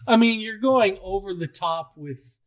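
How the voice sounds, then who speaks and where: A man's voice: sits high, expressive, a little slow. A man co-hosting a show in casual chat, agreeable and often echoing what his partner says. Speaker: co-host of a video show.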